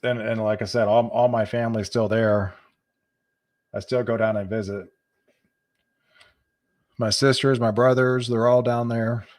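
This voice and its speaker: A middle-aged man chatting casually on his weather livestream. His voice sits at an ordinary middle pitch. He restarts phrases and repeats words, often with a little chuckle. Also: little pitch movement, measured pace, pauses often, a little mellow. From Texas, USA. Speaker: weather channel host.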